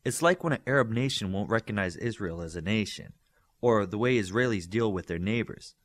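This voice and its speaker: A man's voice: on the low side, animated, brisk, natural voice. A man voicing a teasing, knowing young telepath girl in an audiobook story. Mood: matter-of-fact. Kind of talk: audiobook character dialogue.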